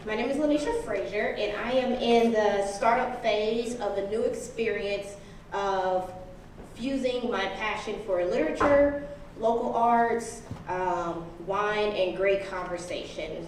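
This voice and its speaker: A woman, an aspiring entrepreneur, gives a brief, modest pitch to a live audience. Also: a little hiss or hum. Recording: echoey hall, distant, faint crowd.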